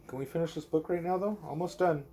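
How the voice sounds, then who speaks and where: A young man, a parent reading a storybook aloud to a child, expressive and gentle, slipping into casual asides. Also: on the high side, fast, a little hiss or hum. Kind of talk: storybook read-aloud mixed with casual family chat. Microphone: phone recording, held or propped nearby.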